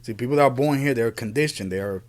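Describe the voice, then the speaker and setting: A man, born in Puerto Rico and raised in the Bronx, giving an earnest, unscripted opinion monologue as a podcast host, often halting and repeating himself. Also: mid-range voice. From Puerto Rico, raised in the Bronx, New York City.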